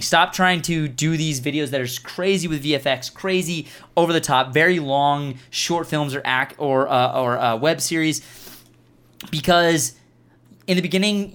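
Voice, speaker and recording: A man's voice: a little high, fairly bright tone. A man hosting a casual group question-and-answer chat, speaking in an emphatic, earnest way. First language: Farsi and English speaker. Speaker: host reading viewer questions. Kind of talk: casual group Q&A chat giving advice to beginner video makers.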